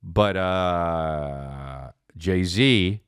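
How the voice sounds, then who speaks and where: A man's voice: sits low, extremely slow, a bit bright, clean tone, dry recording, clean studio sound. A man hosting a comedy podcast, rambling casually in slang and profanity, scattered and often amused by his own jokes.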